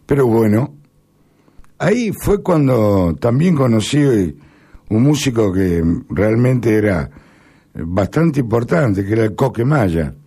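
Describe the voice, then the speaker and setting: A middle-aged Argentine man speaking in a rambling, halting monologue with sudden emphatic rises. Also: lowish voice, animated, warm tone, husky. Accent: Argentine Spanish. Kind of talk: casual spoken monologue.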